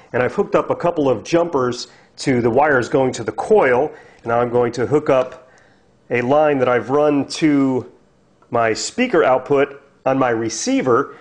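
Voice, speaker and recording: A man's voice: mid-range voice, no echo. A man hosting a do-it-yourself project show, narrating scripted how-to instructions step by step in an instructional manner. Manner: clear step-by-step instructional delivery. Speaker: host of a DIY project show.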